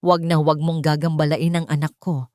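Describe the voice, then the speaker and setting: A woman's voice: deep voice, level tone, fairly quick, darkish tone, no echo, studio quality, lightly acted. Woman voicing a cold, stern mansion master. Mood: cold warning. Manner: curt, commanding, emotionless. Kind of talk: audio storybook dialogue.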